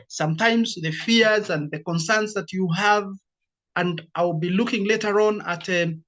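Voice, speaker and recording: A man, a university counselor giving a formal presentation and laying out his points in a measured, numbered order. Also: sits high, a bit bright.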